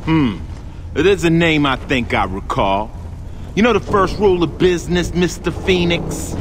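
An actor playing a cocky, menacing gang boss in a video game, smug and taunting in manner. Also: male voice, on the high side, normal speed, slight background noise, lightly acted. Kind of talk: video game cutscene acting.

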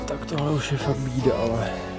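A man's voice: medium pitch, fairly even intonation, loud music behind the voice. A young Czech man, a fishing vlogger, gives laid-back, self-mocking commentary to himself while spin fishing from a belly boat on a lake, recorded on a body-worn action camera. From Czech Republic. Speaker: angler vlogger.

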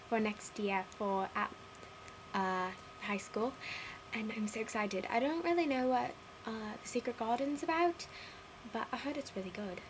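A young woman putting on a British accent, gushing and rambling excitedly in a casual video diary. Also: on the high side, background noise. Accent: put-on British. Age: young adult. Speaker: vlogger.

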